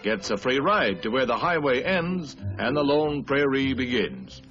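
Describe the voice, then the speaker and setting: A man's voice: medium pitch, sing-song, unhurried, fairly bright tone. An American man reading scripted 1950s newsreel narration in an emphatic, grandiose manner. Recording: dull vintage 1950s newsreel soundtrack. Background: orchestral newsreel music.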